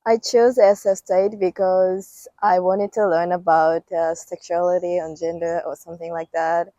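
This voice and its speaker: A woman's voice: on the low side, little pitch movement, a little slow, dark tone, no room sound, lo-fi sound. A young woman from Japan with a Japanese accent, a student giving earnest, simply phrased interview answers.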